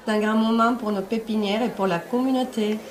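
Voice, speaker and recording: A woman's voice: on the high side, fairly even intonation, fairly quick, a little hiss or hum, close to an everyday voice, low-quality recording. A woman playing an earnest, moved community member making a solemn announcement in an audio drama. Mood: moved, proud. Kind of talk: ceremonial speech in audio fiction.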